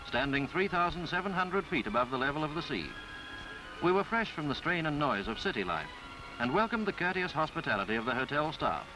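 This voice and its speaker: A man reading a scripted 1950s newsreel travelogue in a formal, florid, measured way. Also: on the high side, rough recording. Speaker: newsreel narrator. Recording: 1950s film soundtrack with background music. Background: music.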